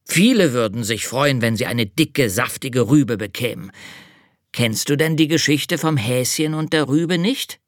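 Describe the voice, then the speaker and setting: A narrator voicing a kindly but easily offended old male hare in a children's storybook, slow and musing. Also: mid-range voice, animated, no echo, clean studio sound, voice pushed up, lightly acted. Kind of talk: storybook reading.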